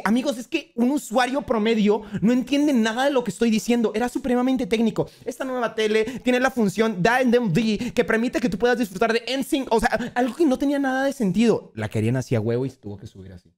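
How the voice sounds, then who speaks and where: A man in his twenties from Colombia, chatting casually and jokingly on a livestream, with slang and swearing. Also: sits high. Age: 20s. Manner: joking, expressive. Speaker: streamer reacting to a video about himself. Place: indoor streaming room.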